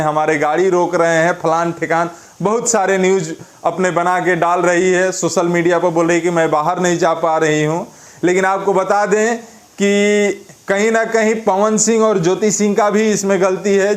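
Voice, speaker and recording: A man with a Bihari accent giving informal, opinionated commentary as an online news presenter, colloquial and scolding, repeating phrases for emphasis. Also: sits high.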